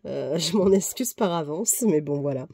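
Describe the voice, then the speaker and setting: A woman with a cold talks through a tarot deck in a relaxed, chatty way, her voice a little nasal from congestion. Her tone is mellow and dark, and the sound is close and dry, with no room around it. She stumbles and corrects herself now and then between short read-aloud passages. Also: sits low, moderate pace, no room sound. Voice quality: slightly nasal. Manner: chatty, hesitant with fillers and self-corrections. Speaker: tarot reviewer. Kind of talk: casual book review, reading passages aloud.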